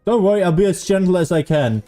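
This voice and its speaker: Lively, mellow-voiced game streamer. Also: mid-range voice, quick pace. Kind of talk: casual live gameplay commentary. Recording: faint game music behind.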